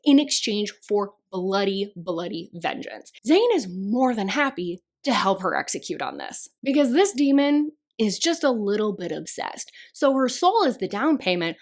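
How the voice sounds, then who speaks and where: A young woman chatting through a monthly book wrap-up vlog, her voice slightly hoarse and a little nasal, with lots of casual fillers. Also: medium pitch, moderate pace, few pauses. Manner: chatty, animated, frequent "like" fillers. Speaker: book reviewer.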